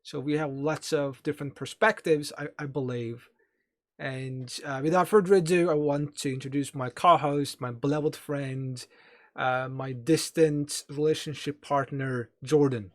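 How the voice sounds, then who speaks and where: A man's voice: fairly high pitch, fairly even intonation, measured pace. A man from Turkey with a Turkish accent, a native Turkish speaker co-hosting a podcast in casual chat. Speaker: podcast co-host.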